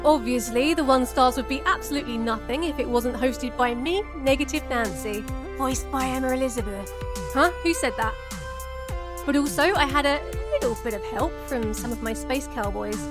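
A grumpy, foul-mouthed female broadcast host acted by a woman, pitched very high. She is sarcastic and crude in audio comedy style, with faint music behind her. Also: normal speed, natural voice. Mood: self-important. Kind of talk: comedy audio drama hosting.

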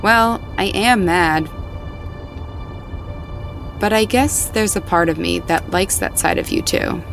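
A frank concerned woman, audio drama, gravelly fry, takes her time, intimate. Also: mid-range voice, slow pace, a bit bright, soft background music. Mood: frank, tender. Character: candid, concerned woman confronting a man close to her. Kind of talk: naturalistic audio drama dialogue.

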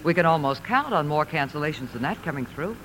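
A polite, firm airline clerk in a 1950s radio play. She is businesslike, with animated intonation, over a noisy old broadcast. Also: low-pitched, fast. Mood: brisk, helpful. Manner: businesslike, courteous. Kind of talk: 1950s American radio drama acting.

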